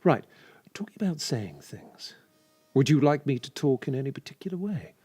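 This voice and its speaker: Droll, theatrical British gentleman. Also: medium pitch, big pitch swings, normal speed, husky, no room sound, soft background music. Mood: courteous, eager. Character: chatty, theatrical, courteous male phone-sex worker. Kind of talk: comedy audio drama dialogue.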